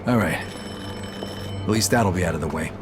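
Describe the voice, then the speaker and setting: A man's voice: sits low, moderate pace, music in the background, natural voice. A man playing a streetwise, guarded gangster in a video game cutscene, curt and matter-of-fact. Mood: businesslike. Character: terse, guarded male young gangster, streetwise.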